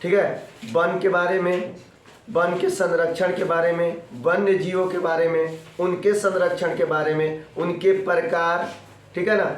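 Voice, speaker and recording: A man teaches a school class from far off in a very echoey room. He sounds like he has a sore throat, and he drills each point with repetition and quick questions to the pupils. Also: a little high. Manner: didactic, repeats points and quizzes the pupils. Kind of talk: classroom geography lesson for school children. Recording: very echoey room, distant mic.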